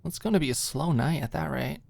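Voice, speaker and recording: A woman's voice: deep voice, animated, brisk, creaky, darkish tone, no room sound, heavily acted. A woman voicing a dry, mock-dramatic young woman who hides her fluster, in an audiobook story. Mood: wry, mock-dramatic.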